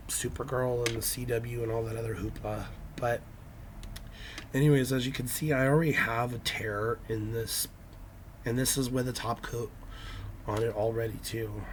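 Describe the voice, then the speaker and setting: A hoarse young man with a raspy, scratchy voice, talking through a casual nail-art how-to with lots of ums and restarts. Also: mid-range voice. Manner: hesitant, many ums and restarts. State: hoarse from illness.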